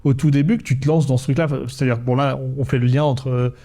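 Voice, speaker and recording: A man's voice: mid-range voice, steady tone, fast talker, dark tone. A man hosting a podcast, asking informal, hesitant questions full of fillers. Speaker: podcast host. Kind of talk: casual interview questions.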